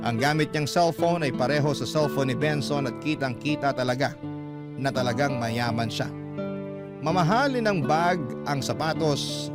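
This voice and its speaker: A man reads a listener's confession in an earnest, heartfelt tone, his voice a bit higher than most men's. Loud guitar music plays beneath him throughout. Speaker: letter reader. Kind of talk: dramatic reading of a listener's letter.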